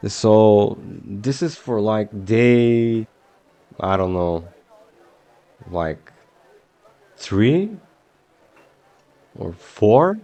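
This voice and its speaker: Slow, hesitant Bulgarian-accented man. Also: fairly low pitch, expressive, dark tone. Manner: hesitant and rambling, full of fillers. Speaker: game streamer. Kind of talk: casual chat while streaming a game.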